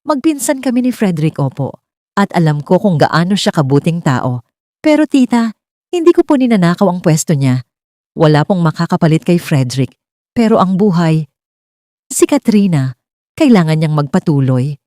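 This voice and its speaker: A woman's voice: lowish voice, sing-song, normal speed, long pauses, mellow, no echo, clean studio sound. A woman voicing a respectful male suitor who defends his love in a serious, polite and direct manner. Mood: earnest, determined.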